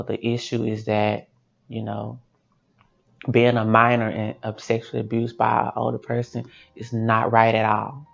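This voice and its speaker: A man giving earnest, measured testimony in a documentary interview. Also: medium pitch, creaky, muffled recording.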